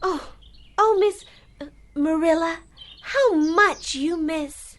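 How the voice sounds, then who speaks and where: An imaginative young orphan girl in a dramatized story. Her voice is extremely high, and she talks in a breathless, effusive rush of flowery words. Every feeling comes out at full intensity. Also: slow, slight background noise. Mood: eager. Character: impassioned, imaginative young orphan girl, talkative and quick-tempered. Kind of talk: dramatic audio drama acting.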